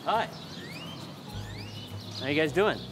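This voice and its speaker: A man, an actor, giving a casual on-camera interview in a halting, rambling way, as if thinking aloud. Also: fairly high pitch, animated, music in the background. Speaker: actor in a behind-the-scenes interview.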